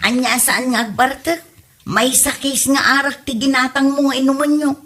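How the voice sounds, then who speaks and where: An exasperated Ilocano daughter played by a woman in a comedy drama. Her voice is slightly high, and she questions and scolds her elders in a Filipino accent. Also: steady tone, normal speed, sharp tone. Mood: scolding. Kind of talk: comedy radio drama acting.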